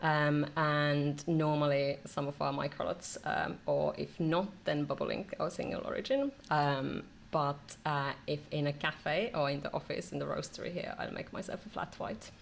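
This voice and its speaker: A middle-aged woman from Finland, a company director being interviewed, speaking in a measured way with many "um"s between phrases. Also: low-pitched, gravelly, slight background noise. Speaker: marketing and e-commerce director, guest. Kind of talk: casual interview.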